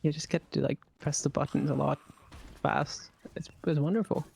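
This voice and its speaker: A man's voice: a little high, fairly even intonation, normal speed, creaky, a little mellow, slightly rough. A man co-commentating a live speedrun race, chatty and asking his partner questions. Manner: chatty, asks questions, laughs often.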